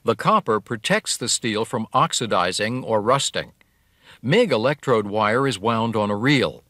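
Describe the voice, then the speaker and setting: A man narrates a technical training lesson in a clear, measured way. His pitch moves a lot, which gives the explanations a lively lift. The voice-over sounds close and dry, with no room around it. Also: dry recording. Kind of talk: scripted instructional narration.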